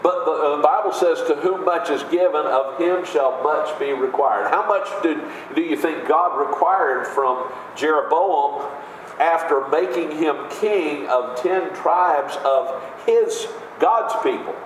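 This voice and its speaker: A man with a big booming voice preaching a sermon in an emphatic, conversational way, with rhetorical questions. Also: measured pace, a little hiss or hum. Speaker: pastor. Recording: echoey room with faint ambience.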